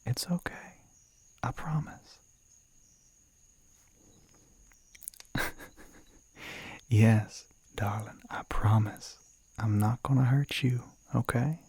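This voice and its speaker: A man playing a suave, flirty male demon in an ASMR roleplay, with a Southern American accent, a breathy, gravelly voice that often drops to a whisper, and a teasing, coaxing drawl. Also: mid-range voice, slow pace, long pauses, mellow, no echo, exaggerated voice. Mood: coaxing, reassuring.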